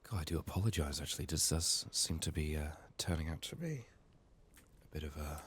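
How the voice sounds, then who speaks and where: A man giving soft-spoken, partly whispered game playthrough commentary, murmuring his thoughts aloud in an unhurried, casual way, his voice strained from being sick. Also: rumbling low, slightly rough. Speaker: gaming video host.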